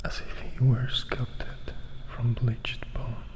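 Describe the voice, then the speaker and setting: A man narrating a story as ASMR, reading softly and deliberately, phrase by phrase, in a whisper. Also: medium pitch, monotone.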